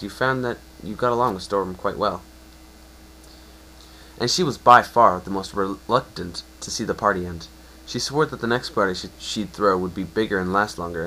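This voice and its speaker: Low-voiced man narrating a story. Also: bright, forceful tone, slight background noise, poor audio. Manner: stumbles and rereads phrases. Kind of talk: dramatic reading of fan fiction, second-person narration.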